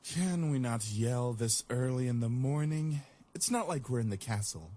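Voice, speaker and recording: A man's voice: medium pitch, a little slow, darkish tone, husky. A man voicing an irritable, dryly logical young man in a story game. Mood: annoyed.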